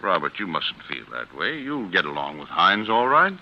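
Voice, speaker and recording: An actor playing an earnest, fatherly defense lawyer in 1940s radio style, speaking in formal, measured phrases. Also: sits low, sing-song, a little hiss or hum. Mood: gentle, reassuring. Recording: muffled old radio recording.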